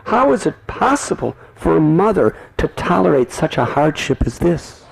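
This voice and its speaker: A man voicing an anxious, loving village mother who frets and pleads in a high, tearful wail, within a devotional storytelling. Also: sing-song, moderate pace, vocal fry, husky, heavily acted, poor audio. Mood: distraught.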